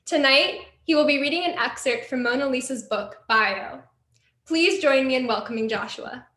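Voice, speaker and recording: A woman hosting a poetry reading, reading formal introductions of poets in a measured, polished way. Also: high voice, bright, forceful tone. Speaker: event host. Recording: roomy echo.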